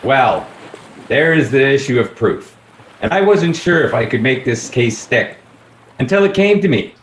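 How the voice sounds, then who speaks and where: An actor as a folksy, shrewd inspector with a mid-pitched voice. He is deliberate and accusing, in radio drama style, in a very roomy space with faint noise. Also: big pitch swings. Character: shrewd, folksy detective inspector laying out a case. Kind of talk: radio play acting. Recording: echoey room with faint noise.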